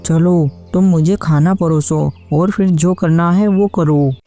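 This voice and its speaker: A woman plays a hardworking, loyal farmer husband in a cartoon moral story. The voice is lowish and very soft-edged and dark, with an earnest, plaintive delivery. Faint music plays underneath. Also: steady tone, takes it slowly. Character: hardworking, kind-hearted farmer husband, adult man, loyal, weary. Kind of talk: scripted cartoon story acting. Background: background music.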